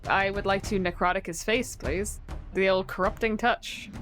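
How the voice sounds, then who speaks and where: A woman's voice: mid-range voice, fairly even intonation, moderate pace, music in the background. A woman playing a tabletop game with casual, playful, dryly funny banter.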